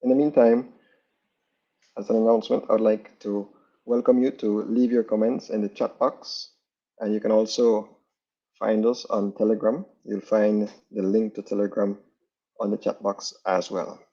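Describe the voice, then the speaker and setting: A man's voice: fairly low pitch, level tone, moderate pace, dark tone, breathy edge. A man hosting an online training over a video call, formally making announcements and introducing the team. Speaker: training host. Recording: dull lo-fi video call audio.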